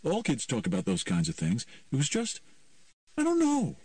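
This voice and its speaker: A man voicing a blunt, animated teenage girl in an audiobook, with casual, emphatic phrasing. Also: medium pitch, normal speed, no echo, clean studio sound, distant mic, pitched above the performer's own voice. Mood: emphatic, explaining. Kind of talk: audiobook character voice.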